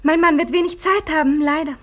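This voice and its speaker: A woman's voice: sits high, brisk, a bit bright. A woman playing a proud but fragile young wife in a 1950s German radio play. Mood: gushing, proud.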